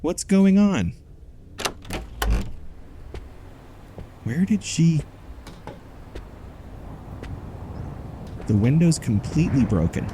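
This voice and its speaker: A man's voice: on the high side, very animated, moderate pace. A man playing an earnest, obsessed amateur investigator recording himself on location in an audio drama. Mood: urgent, bewildered. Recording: noisy on-location podcast field recording.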